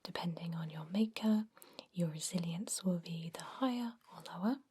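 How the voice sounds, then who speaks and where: A woman whispering softly and reassuringly in an ASMR roleplay as a kindly vampire doctor. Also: fairly low pitch, slightly rough. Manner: soft, reassuring, unhurried whisper. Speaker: ASMR performer playing a doctor.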